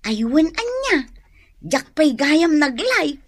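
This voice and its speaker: High-voiced chatty neighbor. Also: female voice, sing-song, takes it slowly, no echo, clean studio sound, exaggerated voice. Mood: chatty, agreeable. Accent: Filipino, Ilocano. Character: chatty, agreeable female neighbor humoring her friend. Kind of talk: comedic radio drama acting.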